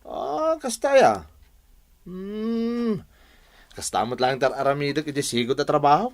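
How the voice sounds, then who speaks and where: Brash, argumentative Ilocano husband, animated delivery. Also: male voice, on the high side, measured pace. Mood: needling. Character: brash, defensive husband working as office utility messenger. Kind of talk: Philippine radio drama acting. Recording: music under the voice.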